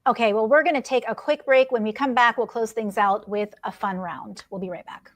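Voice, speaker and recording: Brief: female host, fairly high voice, brisk and upbeat moderator steering a panel. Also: steady tone. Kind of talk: hosting a business talk show.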